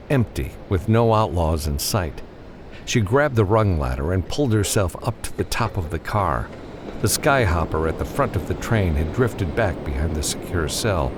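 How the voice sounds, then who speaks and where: Male narrator, lowish pitch, very varied intonation, dramatic scripted fiction reading, noisy ambience behind. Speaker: audiobook narrator.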